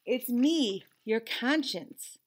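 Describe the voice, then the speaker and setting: A woman voicing a storybook lizard's bossy, scolding conscience, interrogating with drawn-out suspicion. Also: a little high, lively intonation, a little hiss or hum. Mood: self-important.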